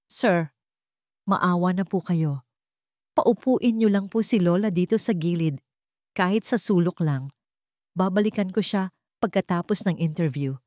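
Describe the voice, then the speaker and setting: A woman's voice: fairly low pitch, measured pace, pauses often, a little mellow, dry recording, clean studio sound, natural voice. A woman voicing an earnest, nervous young male job applicant who speaks politely and pleadingly in a dramatic story.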